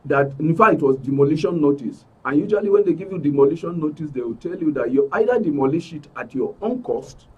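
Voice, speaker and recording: A man with a Nigerian accent and a husky voice giving an emphatic account as an interview guest, repeating phrases for stress. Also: on the high side, expressive, fast, few pauses, distant mic, highs cut off. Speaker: interview guest, club member. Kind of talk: spoken account in a broadcast interview.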